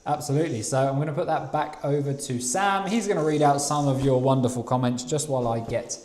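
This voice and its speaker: A man's voice: mid-range voice, fast talker, darkish tone, echoey room. Man reading comments on a live draw. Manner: reads viewer comments aloud, reassuring. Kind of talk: casual live-stream chat.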